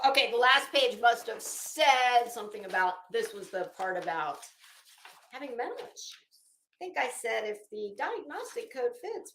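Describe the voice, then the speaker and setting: Bright, high-voiced sardonic woman in echoey room. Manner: mocking, drily sardonic asides. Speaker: live-stream host and commentator. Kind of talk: sarcastic dramatic reading with running commentary.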